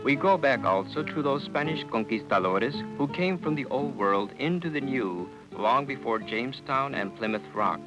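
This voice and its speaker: A man giving measured, solemn scripted narration for a documentary. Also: mid-range voice, lively intonation, poor audio. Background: guitar music.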